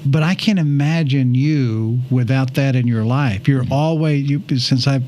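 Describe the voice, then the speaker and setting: A man's voice: mid-range voice, a little slow, dark tone, slight background noise. A man, hosting a casual conversation reflectively and circling back over his phrases. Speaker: interview host.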